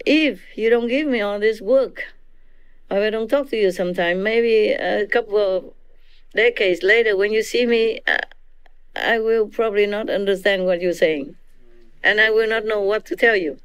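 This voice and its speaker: A woman's voice: mid-range voice, normal speed, smooth, dry recording. A woman with a Vietnamese accent giving an informal teaching to disciples. She laughs often and keeps checking in with her listeners. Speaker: spiritual teacher.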